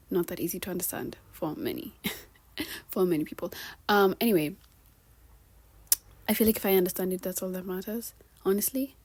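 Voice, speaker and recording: A woman's voice: fairly low pitch, slightly rough, no room sound. A young woman with a Kenyan-tinged American accent, chatting casually to camera and acting out the voices of people she quotes. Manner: animated, acts out quoted voices and laughs often. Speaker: vlogger.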